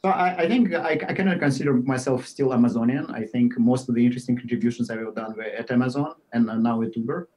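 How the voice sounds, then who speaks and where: A man in his forties speaks with a Russian accent and a dark, mellow tone. He explains things haltingly, with plenty of uhs and restarts, and his voice sinks quieter as sentences trail off. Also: mid-range voice, rough recording. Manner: halting, many fillers and restarts, drifts quieter at phrase ends. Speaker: guest software engineer. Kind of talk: podcast interview answers.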